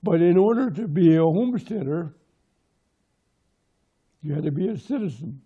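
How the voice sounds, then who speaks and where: A man whose first language is Lakota, from Pine Ridge, telling his community's oral history in measured, short, plain sentences. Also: a little high, animated, husky, narrow, tinny audio. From Pine Ridge, South Dakota. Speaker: interviewee, local historian.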